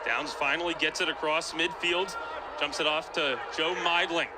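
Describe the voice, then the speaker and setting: Man, fairly high voice, sports reporter and announcer, broadcast commentary style, clear outdoor background noise. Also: steady tone, moderate pace, a loud crowd. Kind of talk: scripted TV sports reporting and lacrosse play-by-play commentary.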